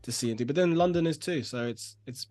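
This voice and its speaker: A man's voice: on the high side, fast talker, a little mellow, no room sound, soft background music. A young man hosting a live design stream over a video call, chatty and full of fillers. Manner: chatty, lots of fillers and back-channel "nice"s. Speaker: livestream host. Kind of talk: casual livestream chat.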